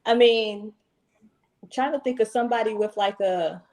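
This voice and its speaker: Chatty higher-voiced woman singer. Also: normal speed, darkish tone. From Southern Virginia. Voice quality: naturally higher voice. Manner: chatty, laughs easily, restarts mid-sentence. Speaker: guest singer-songwriter. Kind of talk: casual chat.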